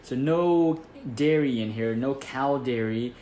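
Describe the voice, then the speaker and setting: A man's voice: medium pitch, slow pace, mellow. Man casually explaining, with background noise. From Taiwan. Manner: conversational, checks in with short tag questions. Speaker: acupuncturist presenting health tips. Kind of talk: casual instructional talk to camera.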